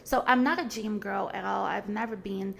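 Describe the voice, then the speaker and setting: A woman's voice: medium pitch, normal speed, vocal fry, fairly bright tone, slight background noise. A woman, talking earnestly and frankly as a podcast guest, with many fillers and restarts. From Brazil. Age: 40s. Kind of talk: casual conversation.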